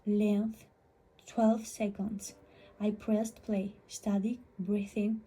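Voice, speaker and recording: A woman's voice: medium pitch, level tone, very slow, warm tone, breathy edge. A woman reading a scripted first-person horror story as a storyteller.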